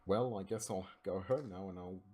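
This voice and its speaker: A man's voice: low voice, moderate pace, dark tone, a little hiss or hum. An Australian man doing an informal read-aloud of a script as an amateur narrator, voicing the dialogue himself.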